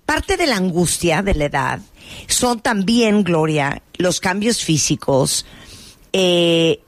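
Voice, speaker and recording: A woman in her thirties hosts a radio talk show with a slightly low voice. She chats casually and throws teasing questions at listeners. The sound is dry and close, with no room around her. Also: expressive, slow, no echo.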